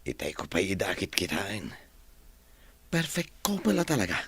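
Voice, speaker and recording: Curious friendly filipino buddy in a radio play, husky n animated. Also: male voice, sits low, normal speed, full character voice. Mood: admiring. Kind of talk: radio drama acting.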